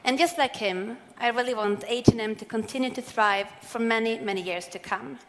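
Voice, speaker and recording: A woman, giving a measured, earnest prepared keynote speech on stage. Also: on the high side. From Sweden. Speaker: corporate sustainability speaker. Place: large event hall on stage. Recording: long hall reverb.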